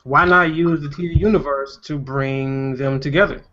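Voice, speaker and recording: A man's voice: fairly high pitch, fairly even intonation, normal speed, a bit bright. Man explaining opinions, dull lo-fi sound. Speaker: panelist in a fan discussion. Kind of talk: casual group discussion. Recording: dull lo-fi recording.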